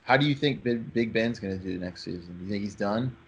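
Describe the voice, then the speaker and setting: A man's voice: lowish voice, brisk, creaky, muffled recording, a little hiss or hum. A man hosting a sports talk show, giving opinionated takes in an informal, emphatic way.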